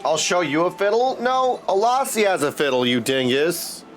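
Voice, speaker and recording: Higher-pitched goofy man streaming games. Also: very animated, moderate pace, a little hiss or hum. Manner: playful, self-teasing, goofy wordplay. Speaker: game streamer. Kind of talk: casual livestream chat. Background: video game music.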